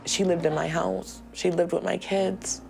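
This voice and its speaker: A woman in her forties, an inmate awaiting trial, speaking in a documentary interview in a halting, emotional way with many ums. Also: lowish voice, little pitch movement, vocal fry, over music. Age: 40s.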